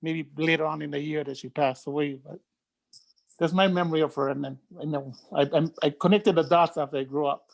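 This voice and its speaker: A woman's voice: low-pitched, steady tone, fairly quick, vocal fry, dry recording, poor audio. A woman, speaking with a camera that is off on a family video call and recalling things in a reflective way, with stammered restarts. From Tonga. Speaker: relative sharing a memory.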